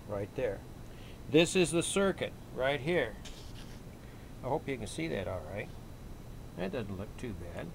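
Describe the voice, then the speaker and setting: A man giving a casual, unhurried hands-on repair walkthrough, thinking aloud in a folksy way like a hobbyist at his bench. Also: mid-range voice, vocal fry, a little hiss or hum, low-quality recording. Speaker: electronics hobbyist demonstrating a radio repair. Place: small indoor electronics workbench.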